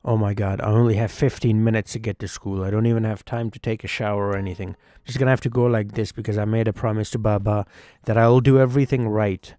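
A man playing an excitable, earnest young schoolboy cricketer who tells his story conversationally, with emphatic pauses, in a dramatised game story. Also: fairly low pitch, natural voice. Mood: excited, anxious. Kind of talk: first-person dramatised narration over game cutscenes.

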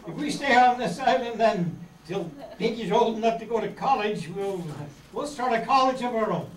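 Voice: medium pitch, moderate pace, close to an everyday voice. An amateur performer playing a bossy, practical young castaway leader in an old-time children's radio serial. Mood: practical, brisk. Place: community meeting room before an audience. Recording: echoey room, distant camera mic, hiss.

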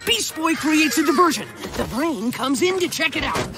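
A man's voice: very high-pitched, lively intonation, moderate pace. A man voicing a cocky, obsessive teenage boy superhero in a cartoon, with an intense, dramatic delivery. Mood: scheming. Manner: intense, self-important, dramatic. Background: loud cartoon music.

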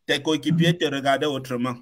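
A man hosting a live interview over a headset, asking long, lively questions. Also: medium pitch, a little fast. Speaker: host.

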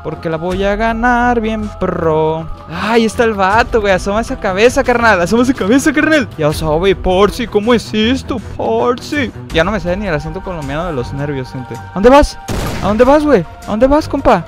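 Excitable high-voiced Mexican young man. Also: normal speed. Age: young adult. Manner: excitable, chatty, slangy, frequent high-pitched outbursts. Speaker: gaming streamer. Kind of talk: live gameplay commentary. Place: indoor room at a desk, facecam. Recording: game music underneath. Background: game music.

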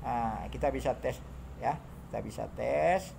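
A man narrating an informal hands-on demo, halting and muttering to himself as he works, recorded indoors on a handheld phone. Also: medium pitch, creaky, mellow, a little hiss or hum. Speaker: hobbyist electronics builder demonstrating circuits. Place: indoor home workbench.

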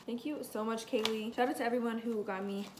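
A woman's voice: on the high side, steady tone, brisk, background noise. Teenage American girl vlogging casually. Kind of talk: casual vlog to camera, opening gifts. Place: small bedroom, later a home kitchen.

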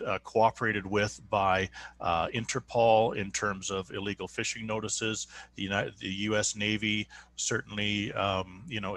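A man's voice: fairly low pitch, sharp tone. A Canadian man hosting an online discussion, introducing his guest with many fillers and restarts over a video call. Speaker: host.

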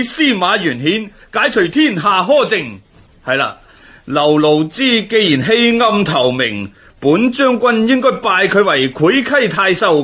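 An actor playing an ambitious, pompous rebel warlord in a historical radio drama, gloating in a raspy voice. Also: male voice, high voice, pushed and bright, exaggerated voice. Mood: commanding. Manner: pompous, slow, boastful.

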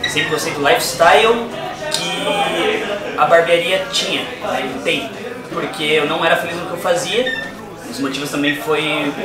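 Man in his thirties, earnest barber testimonial, echoey room, slightly distant mic. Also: on the high side. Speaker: barber, barbershop owner and brand ambassador. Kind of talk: personal testimonial to camera. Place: indoors, small barbershop. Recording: roomy, distant mic, clear background music. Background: music.

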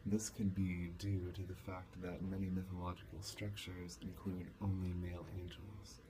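A young man playing a calm college professor in a soft-spoken ASMR lecture roleplay, measured and didactic. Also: low-pitched. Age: young adult. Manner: measured, didactic, with rhetorical questions. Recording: clearly noisy, hissy home recording.

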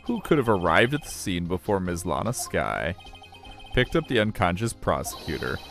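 A man plays a determined young defense lawyer from a courtroom game. He speaks low, firm and deliberate as he accuses, with music underneath. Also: sing-song, gravelly, a bit bright, full character voice. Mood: accusing.

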